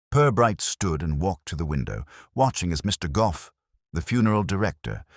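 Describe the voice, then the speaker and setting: A man's voice: rumbling low, husky, no echo, clean studio sound. A man reading a scripted detective mystery as a narrator, in storytelling audiobook style.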